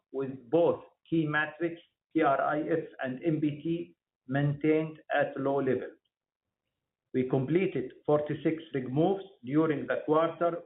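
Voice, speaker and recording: Higher-pitched man on a tinny phone line. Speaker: company executive presenter. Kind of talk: scripted corporate earnings presentation. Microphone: phone or conference call line.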